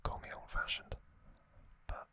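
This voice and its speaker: A man hosting an ASMR video, whispering unscripted commentary in a hushed, unhurried, halting manner. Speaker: host of an ASMR channel.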